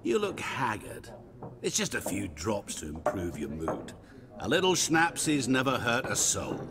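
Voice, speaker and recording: An actor playing a chatty, nosy, tipsy merchant in a video game, wheedling and effusive in a theatrical way. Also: male voice, fairly low pitch, wildly swooping pitch, a little slow, gravelly, exaggerated voice. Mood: cheerful, coaxing. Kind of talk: video game character dialogue. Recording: crowd chatter behind the voice.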